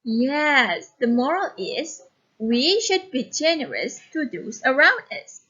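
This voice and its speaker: A woman teacher reading a story expressively and giving lesson instructions with clear, instructive phrasing. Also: high voice, very animated, slow pace, pushed and bright, lo-fi sound.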